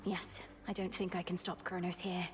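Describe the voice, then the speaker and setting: A woman playing a resolute young goddess princess in a video game, speaking in a formal, measured, determined way. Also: on the low side, flat delivery, soft background music, natural voice. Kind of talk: dramatic video game acting.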